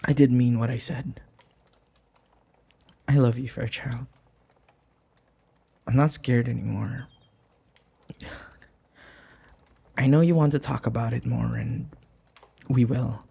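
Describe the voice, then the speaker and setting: A man acting in an intimate audio roleplay as a devoted young human servant, speaking in a soft, halting, tender and pleading way. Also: mid-range voice, steady tone, vocal fry, slightly rough, exaggerated voice. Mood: tender, shy.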